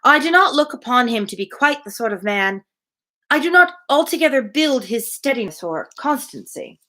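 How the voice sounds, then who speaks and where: A woman voicing a self-assured young genteel woman from a novel, reasoning aloud in a measured, emphatic way. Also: sits high, sing-song, pushed and bright, full character voice. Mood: musing. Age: young adult.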